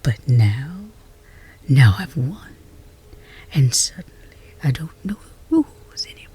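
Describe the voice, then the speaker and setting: A woman playing a flustered, lovestruck chess rival in a romance roleplay ASMR, whispery and intimate, with halting, teasing delivery. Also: a bass voice, big pitch swings, mellow, exaggerated voice. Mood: tender, hesitant. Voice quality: breathy, whispery.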